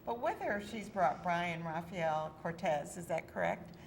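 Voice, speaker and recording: A woman's voice: lowish voice, unhurried, vocal fry, a little hiss or hum. A woman hosting a local TV talk show, chatting casually with her guests and using many fillers. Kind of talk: casual interview chat.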